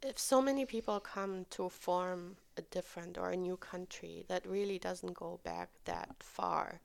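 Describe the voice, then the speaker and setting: A woman from Germany, a native German speaker, talking in a thoughtful, measured way in a discussion. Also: on the low side, steady tone, creaky. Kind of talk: conversational discussion.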